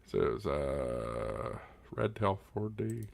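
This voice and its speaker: A man hosting a casual livestream, talking in a folksy, halting way with pauses, close up on a studio microphone. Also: extremely low pitch, very animated, creaky. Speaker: livestream host of a ham radio channel. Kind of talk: casual livestream chat answering viewer questions. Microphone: close directional studio condenser microphone, spoken right up on it.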